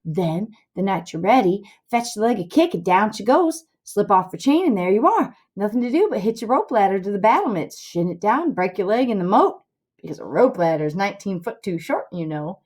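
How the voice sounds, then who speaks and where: A woman's voice: medium pitch, big pitch swings, a little fast, a little mellow, breathy edge. A woman voicing a bossy, grandiose boy schemer in a storybook reading, lecturing scornfully in rustic dialect. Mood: excited, scheming.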